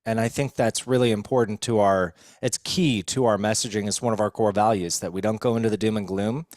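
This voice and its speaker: A man's voice: fairly low pitch, fairly even intonation, brisk, darkish tone, dry recording, studio quality. A young American man talking earnestly and informally as a guest in a casual podcast conversation. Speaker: podcast guest, recovery video producer.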